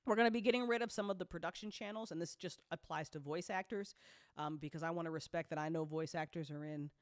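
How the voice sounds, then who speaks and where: A woman's voice: fairly low pitch, fast talker, dry recording, studio quality. A woman hosting a casual update talk as an audio drama producer, speaking bluntly and informally with playful slang and free swearing.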